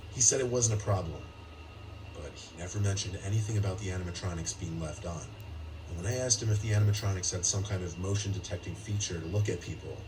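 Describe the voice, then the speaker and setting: A man narrating a first-person horror story from a script, heard as distant playback. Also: low voice. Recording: playback heard from afar, noisy.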